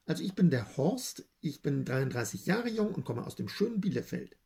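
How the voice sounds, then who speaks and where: A man introducing himself in a homemade comedy sketch, plain and low-key. His voice is gravelly and husky, with lively pitch movement. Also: normal speed.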